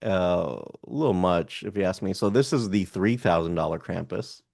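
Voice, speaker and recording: A man's voice: low voice, normal speed, creaky, darkish tone, dry recording, studio quality. A man co-hosting a casual podcast, chatting, joking and reading prices aloud as he browses online shops. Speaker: podcast co-host.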